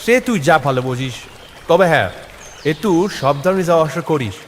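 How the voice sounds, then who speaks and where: Animated, supportive male friend played by a man. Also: a little high, brisk, close to an everyday voice. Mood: casual, concerned. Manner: casual, concerned. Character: supportive, cautioning male friend of a young worker. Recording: noisy recording with long hall reverb.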